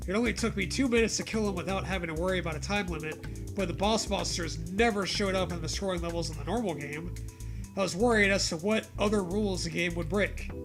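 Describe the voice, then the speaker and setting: A man's voice: high voice, quick pace, music in the background. A man reading a story aloud for comedy, breaking off to swear and riff casually.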